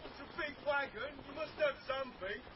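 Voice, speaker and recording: An actor playing a greedy, blunt highwayman in a radio drama, menacing but easily rattled. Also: moderate pace, highs cut off, noisy background.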